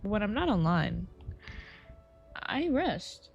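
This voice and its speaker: A woman's voice: medium pitch, slow pace, creaky, highs cut off, soft background music. A woman game streamer chatting casually on a live stream, her voice tired and a little scratchy. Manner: relaxed, rambling, chatty.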